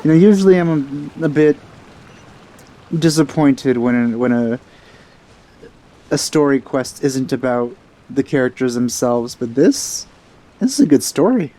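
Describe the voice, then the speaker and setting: A man giving casual, low-key commentary as he plays through a video game. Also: lively intonation, unhurried, pauses often, mellow. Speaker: let's player.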